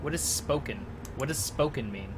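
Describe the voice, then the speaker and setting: A man giving casual, rambling gameplay commentary in a halting, self-conscious way, with some mild swearing. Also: medium pitch, animated, noisy background. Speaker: host of a gaming video series.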